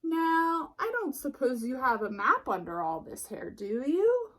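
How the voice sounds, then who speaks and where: A woman's voice: high voice, big pitch swings, a little slow, fairly bright tone, heavily acted. A woman voicing a bubbly, self-assured female sheep in a picture book, chirpy and eager. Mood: helpful, eager. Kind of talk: picture book read-aloud.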